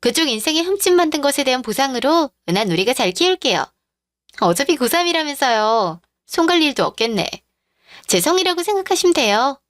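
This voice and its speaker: A woman's voice: high voice, very animated, a little fast, fairly bright tone, no room sound, studio quality, pitched above the performer's own voice. A woman voicing a brazen, smug mistress who speaks in a sugary, condescending way, in a radio drama.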